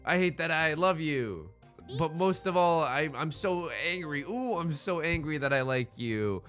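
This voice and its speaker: A man's voice: fairly high pitch, expressive, measured pace, a bit bright, faint music behind. A man hosting a gaming series with casual, jokey commentary, often stammering and repeating words as he rambles. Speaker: host of a gaming Let's Play series. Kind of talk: casual game commentary with joking banter.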